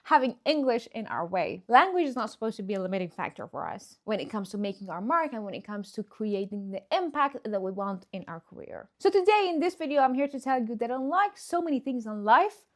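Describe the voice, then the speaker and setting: A woman's voice: fairly high pitch, sing-song, a little fast, hardly any pauses. A woman with a Persian accent, a native Farsi speaker, coaching listeners directly in an encouraging, emphatic instructional talk. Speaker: presentation skills coach, video host.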